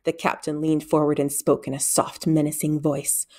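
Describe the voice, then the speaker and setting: A woman reading a storybook aloud in expressive narration. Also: sits low, normal speed. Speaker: audiobook reader.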